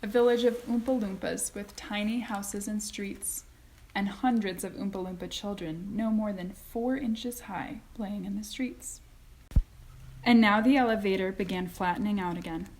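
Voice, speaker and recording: A woman's voice: medium pitch, darkish tone, a little hiss or hum, low-quality recording. A woman teacher narrating a children's story expressively, in read-aloud style.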